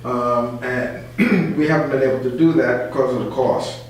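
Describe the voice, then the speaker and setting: A man's voice: medium pitch, fairly even intonation, moderate pace, faint music behind. A man speaking as a community advocate guest in an informal radio discussion, explaining and repeating phrases to stress his points. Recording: very echoey room, distant mic, faint noise.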